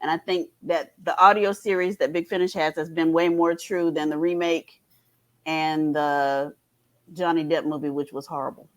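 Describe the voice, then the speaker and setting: Low-voiced woman on a fan panel. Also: fairly even intonation, normal speed. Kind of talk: casual panel discussion.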